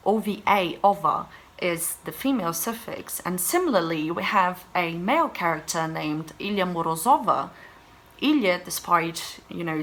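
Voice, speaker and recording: A woman's voice: fairly low pitch, a bit bright. A woman whose first language is Portuguese, talking through a book review in a casual vlog, emphatic and exasperated as she rants.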